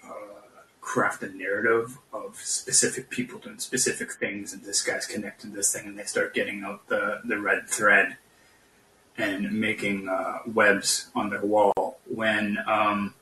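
Guy on a call-in show, echoey and a bit distant, sharp bright voice, skeptical, stop-start talking. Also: on the low side, little pitch movement, slight background noise. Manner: hesitant, halting, skeptical. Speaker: podcast guest caller. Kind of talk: casual political debate. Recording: echoey room, distant from mic.

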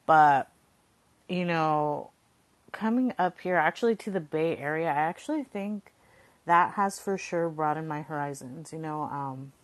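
A woman's voice: low-pitched, measured pace. A young woman from Southern California, reflecting casually and conversationally, peppering her talk with "like" and checking in with "right?". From Southern California, Coachella Valley. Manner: informal, conversational, frequent 'like' and tag 'right?'. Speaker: podcast speaker.

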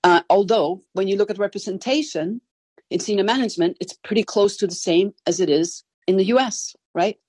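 A woman with a Danish accent speaking in a casual panel discussion, briskly, tagging her points with "right?". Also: medium pitch, a little mellow, breathy edge, no room sound. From Denmark. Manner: brisk, frequent "right?" tags. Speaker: gender equality consultant.

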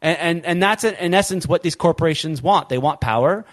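A man's voice: on the high side, a little fast. A man hosting a political podcast, talking in an impassioned, emphatic way. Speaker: podcast host.

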